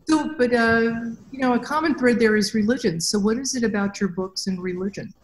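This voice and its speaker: A woman in her fifties hosting a podcast over a video call, enthusiastic and halting, often restarting her sentences. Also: on the high side, low-quality recording. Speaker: podcast host. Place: indoors, plain room. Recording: faint background noise.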